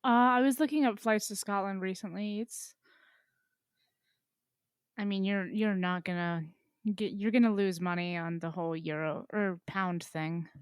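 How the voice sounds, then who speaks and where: Deadpan woman in dry-sounding podcast chat. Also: medium pitch, moderate pace, clean tone, no room sound. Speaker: podcast co-host. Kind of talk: casual banter.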